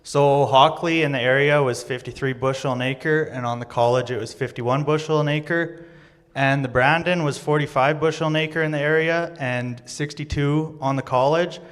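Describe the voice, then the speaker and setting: A man's voice: fairly high pitch, fairly even intonation, normal speed, a bit bright, clear, resonant tone, long reverb tail. A young man from Saskatchewan giving a class presentation on crop yields, reading figures in a plain, matter-of-fact way. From Saskatchewan, Canada. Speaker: agriculture student presenter. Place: college auditorium stage.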